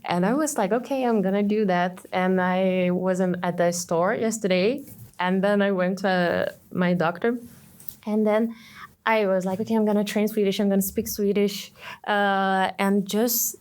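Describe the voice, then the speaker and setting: A woman's voice: medium pitch, steady tone, moderate pace, a little mellow. A woman whose first language is Portuguese, a student of Swedish chatting and telling stories on a podcast. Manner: chatty, laughs easily, storytelling. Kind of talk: podcast conversation.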